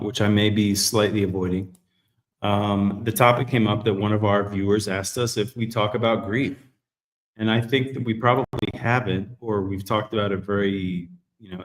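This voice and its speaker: A man hosting a live men's group talk show, chatting in a relaxed, rambling way. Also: on the low side, roomy sound. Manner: relaxed, rambling, self-correcting. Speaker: host. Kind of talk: casual live chat.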